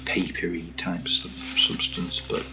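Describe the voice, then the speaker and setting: A soft-spoken British man chatting through an ASMR unboxing in a breathy murmur that often drops near a whisper, with gently playful asides. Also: sits low, completely flat delivery, slow, over loud music, poor audio. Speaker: ASMR product reviewer.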